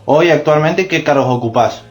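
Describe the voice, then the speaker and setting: A man's voice: fairly high pitch, normal speed. A man with an Argentine accent interviews someone conversationally, asking questions and adding short acknowledgements. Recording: phone interview with music.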